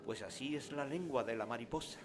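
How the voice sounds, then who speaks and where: A man's voice: mid-range voice, a little fast, background noise, lightly acted. A man playing an enthusiastic schoolmaster explaining nature to his pupils in a radio drama. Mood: enthusiastic, instructive. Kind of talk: radio drama acting in Spanish. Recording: music under the voice.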